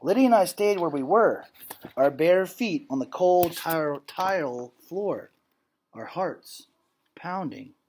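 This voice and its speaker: A man's voice: a little high, animated, slow pace, darkish tone, husky. A man in his thirties reading a storybook aloud like a teacher, telling it expressively and acting out the dialogue. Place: indoor classroom. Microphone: webcam or laptop at desk distance.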